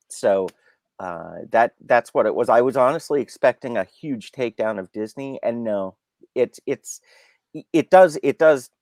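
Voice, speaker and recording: Halting male film reviewer, dry close sound. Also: mid-range voice, darkish tone, no room sound. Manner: halting, searching for words, repeats himself. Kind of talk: unscripted review discussion.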